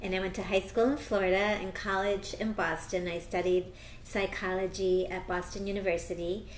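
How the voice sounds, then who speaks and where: An American woman speaking as an astrologer and tarot reader, chatting casually in an interview with bursts of excitement. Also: mid-range voice, reverberant, a little hiss or hum, low-quality recording. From United States. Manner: animated, quick bursts of excitement.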